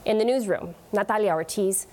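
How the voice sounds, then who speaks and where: A woman's voice: mid-range voice, a little fast, a little mellow. A woman in her thirties, a TV news reporter reading scripted narration.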